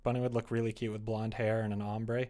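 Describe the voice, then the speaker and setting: A man's voice: on the low side, little pitch movement, quick pace, dark tone. A young man chatting casually as he streams from his computer, muttering to himself and reading comments aloud in an offhand way. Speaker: live streamer. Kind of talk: casual live-stream chat while typing. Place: small indoor room at a computer.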